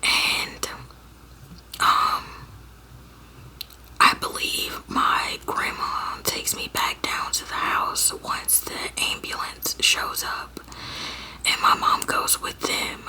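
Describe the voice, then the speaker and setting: A young woman from Georgia in the US, telling a personal story to her viewers in a halting whisper, full of fillers and restarts. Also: sharp tone, poor audio. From Georgia, USA. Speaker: storyteller vlogger.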